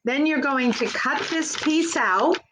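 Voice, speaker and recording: A woman's voice: high-pitched, animated, unhurried, noisy background. A woman working as a children's librarian, hosting a live storytime in a simple, encouraging way and speaking directly to young children.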